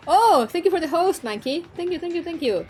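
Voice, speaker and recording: Brief: young woman streamer, Spanish-accented English, giggly rambling chat, soft music underneath. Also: very high-pitched. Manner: giggly and rambling, often laughing between lines. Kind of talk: casual live-stream chat with viewers.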